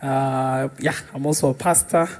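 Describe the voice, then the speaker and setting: A man with a husky voice and a Kenyan accent, chatting and joking casually as a co-host. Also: medium pitch, steady tone, normal speed, a little mellow.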